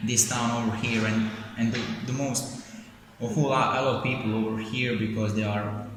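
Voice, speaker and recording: A young man from Croatia with a Croatian accent, an exchange student answering interview questions in halting English with many restarts. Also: mid-range voice, fairly even intonation. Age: young adult. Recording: echoey hall with background noise.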